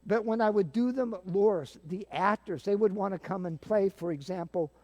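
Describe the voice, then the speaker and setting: A man's voice: sits high, moderate pace, a little mellow. An older man casually explaining and demonstrating things as a guest. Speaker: guest, theater sound-effects practitioner.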